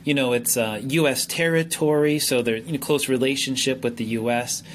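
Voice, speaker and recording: A man's voice: mid-range voice, fairly even intonation, moderate pace, a little hiss or hum. A man hosting a podcast, friendly and chatty, often restarting his sentences. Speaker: podcast host. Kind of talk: casual interview chat.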